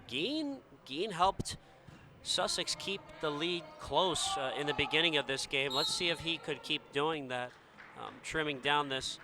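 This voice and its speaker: A man giving conversational color commentary on a live basketball game, with a halting delivery. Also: fairly high pitch, bright, forceful tone. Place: indoor basketball gym during game. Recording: noisy gym with bouncing balls.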